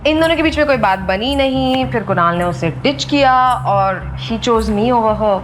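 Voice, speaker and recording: A woman's voice: a little high, expressive, normal speed, noisy background, close to an everyday voice. A woman playing a spoiled, smug young rich woman in an audio drama, catty and mock-sweet, mixing in English. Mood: smug, gossipy. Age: young adult. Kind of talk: Hindi audio drama acting.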